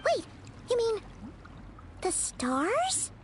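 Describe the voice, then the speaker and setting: A woman playing a small, childlike, excitable fairy companion in a video game, with a squeaky voice. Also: drawn-out words, very noisy, heavily acted. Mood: puzzled. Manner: excitable, refers to self by name. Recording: game music behind the voice.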